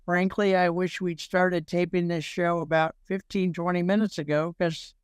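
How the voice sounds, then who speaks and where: Man, interview host, notably high pitch, very clean tone, jokey, rambling, informal. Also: clear, resonant tone, no echo. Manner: jokey, rambling, repeats himself. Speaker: show host interviewing a guest.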